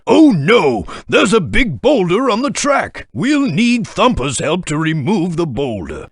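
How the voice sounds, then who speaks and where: A man voicing a portly, kindly older male railway boss who gives brisk, encouraging instructions in a game. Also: on the high side, breathy edge, no echo, clean studio sound, full character voice. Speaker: game guide giving instructions. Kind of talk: studio game voice-over. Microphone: studio microphone.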